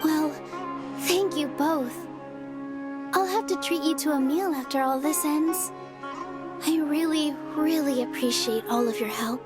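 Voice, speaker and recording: Gentle young female dancer, high and sincere, anime game, heavy music underneath. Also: a little slow, long pauses. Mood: warm, grateful. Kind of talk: anime-style game voice acting. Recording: music behind the voice.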